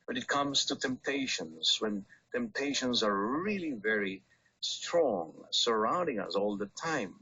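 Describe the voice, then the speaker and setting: A priest speaks in a bright, forceful voice with a husky edge. He delivers his radio homily deliberately, urging the listener on. The sound is a roomy, lo-fi broadcast recording. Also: lowish voice. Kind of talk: scripture reading and short radio homily. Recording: dull lo-fi radio aircheck, roomy.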